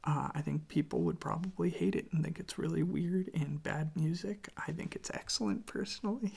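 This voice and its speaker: An ASMR creator speaking very softly and casually into a close microphone, with a breathy, creaky voice and a meandering, quietly enthusiastic way of talking. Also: medium pitch, moderate pace. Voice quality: whispery, breathy with creaky fry. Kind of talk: soft-spoken ASMR, rambling music talk.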